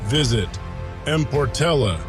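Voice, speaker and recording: A man delivers dramatic first-person narration in a grandiose storyteller's manner. His florid, scripted phrases unfold over clearly audible background music. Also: medium pitch, expressive, a little slow. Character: ancient soldier turned paladin, lovestruck, reminiscing. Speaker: writer and narrator of an audio drama.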